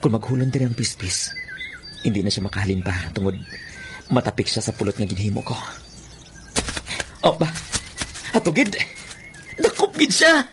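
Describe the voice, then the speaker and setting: Radio drama: an excitable young trapper and son, Western Visayan accent, greedy and gleeful, widely swooping voice. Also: male voice, fairly low pitch, normal speed, pauses often, noisy background. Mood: scheming. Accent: Filipino, Western Visayan. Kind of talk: Philippine radio drama acting.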